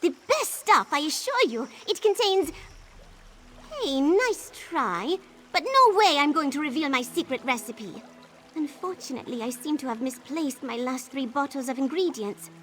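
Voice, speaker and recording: High-pitched excitable potion brewer. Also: female voice, normal speed, a little hiss or hum. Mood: eager. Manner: theatrical, effusive, boastful. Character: excitable eccentric potion brewer, dreamy believer in whales. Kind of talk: video game character dialogue.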